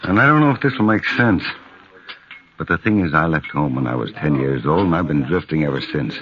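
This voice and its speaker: An actor playing a polite, earnest drifting card dealer in a vintage radio western. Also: male voice, a bass voice, expressive, fairly quick, a little hiss or hum. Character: quiet, drifting male card dealer with a soft heart. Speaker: gambler. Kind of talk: 1950s radio drama acting. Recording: dull lo-fi vintage radio recording with music.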